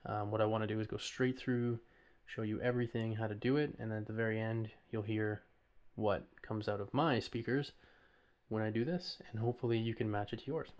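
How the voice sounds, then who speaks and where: A man's voice: lowish voice, steady tone, fairly quick, darkish tone. A man hosting an informal audio tutorial, talking through each step in a casual, somewhat hesitant way. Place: voice-over recorded while working at a computer.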